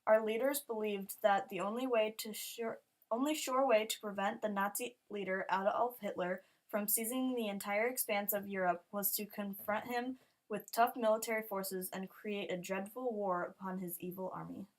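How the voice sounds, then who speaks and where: Woman reading scripted newsreel, mid-pitched. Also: steady tone, normal speed, low-quality recording. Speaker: student newsreel narrator. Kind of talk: scripted newsreel narration, read aloud.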